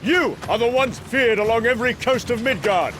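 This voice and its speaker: A man's voice: very high-pitched, lively intonation, moderate pace, a bit bright, slight background noise. An actor playing a proud, brash young male Norse god of thunder, grandiose and commanding, in a comic book audio drama. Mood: angry.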